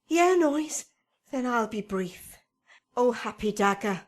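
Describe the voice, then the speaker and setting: A woman plays a desperate young lover in a tragic radio play. Her high voice lingers slowly over Shakespearean verse, tender and grief-stricken. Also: lively intonation. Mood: grieving, desperate. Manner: lingering, tragic, hushed to crying out.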